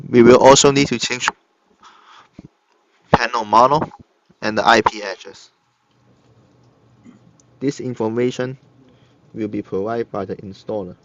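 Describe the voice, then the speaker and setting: A man narrating a step-by-step software tutorial in short, halting instructional phrases. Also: medium pitch, fairly even intonation. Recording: dull lo-fi computer recording. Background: screen-recorded tutorial voice-over.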